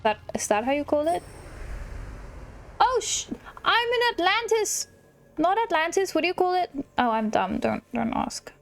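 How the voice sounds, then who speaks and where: A woman's voice: very high voice, sing-song, moderate pace, gravelly, pauses often. A woman hosting a gaming video with chatty, giggly, self-mocking commentary. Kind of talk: casual let's play commentary, reading game dialogue aloud.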